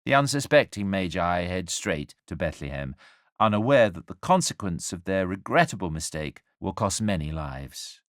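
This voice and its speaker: Low-voiced male storyteller, expressively varied. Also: big pitch swings, no room sound, clean studio sound. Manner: measured, wry, literate, deliberate phrasing. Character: angel narrating the nativity story, wry and urbane. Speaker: storyteller narrator. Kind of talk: scripted dramatic storytelling narration.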